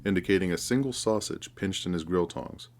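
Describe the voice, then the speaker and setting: Deep-voiced man narrating a story. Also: fairly quick, soft background music. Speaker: horror fiction narrator. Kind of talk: scripted first-person story narration.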